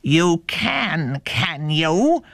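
A woman voicing a menacing male wolf in a storybook, snarling and slowly stretching out the words. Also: deep voice, big pitch swings, vocal fry, no echo, studio quality, heavily acted. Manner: slow, drawn-out.

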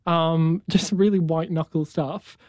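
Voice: medium pitch, steady tone, a little mellow, dry recording, studio quality. A young music student from New Zealand whose first language is Afrikaans, answering interview questions with enthusiasm and plenty of fillers. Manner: enthusiastic, halting, many fillers. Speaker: conducting student, guest.

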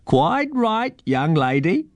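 Eccentric old bird-man for storybook male reader performing, raised pitch, folksy and friendly. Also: big pitch swings, slow, no echo, full character voice. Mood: cheerful, hungry.

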